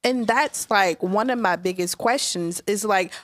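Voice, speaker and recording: A woman's voice: mid-range voice, unhurried, no echo, studio quality. A woman co-hosting a podcast, insistent and pressing rhetorical questions while holding the floor. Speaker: podcast co-host. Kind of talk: casual podcast discussion.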